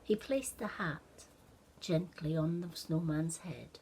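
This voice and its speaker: A woman narrating a children's story expressively, with hushed wonder and dramatic pauses. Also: low voice, breathy edge.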